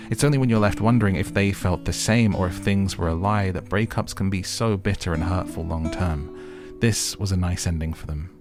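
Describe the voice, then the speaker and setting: A man narrating an opinionated show recap as host, with wry, exasperated commentary and music underneath. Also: deep voice.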